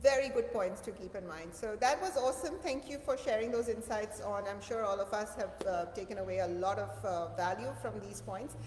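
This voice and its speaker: A woman's voice: medium pitch, brisk, few pauses, a little mellow. A woman hosting a casual conversational interview in an agreeable, encouraging way. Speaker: interview host. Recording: echoey reverberant room with background noise.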